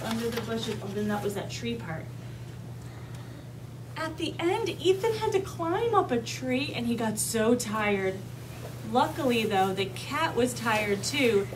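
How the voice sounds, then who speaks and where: Female kindergarten teacher for an interactive read-aloud. High-pitched, simple instructions with regular check-in questions, picked up from a distance. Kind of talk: interactive read-aloud lesson to young children. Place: kindergarten classroom with children. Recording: distant mic, clearly noisy room ambience.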